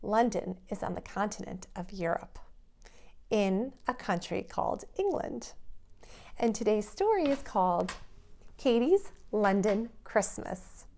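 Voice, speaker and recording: A woman reading a storybook aloud to young children in an expressive storytelling manner. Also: mid-range voice, unhurried, gravelly.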